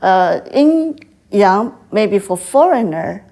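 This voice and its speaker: Older Taiwanese woman in halting English. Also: medium pitch, big pitch swings, warm tone. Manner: hesitant, halting English with many fillers. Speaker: guest, tea house owner. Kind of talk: interview answers.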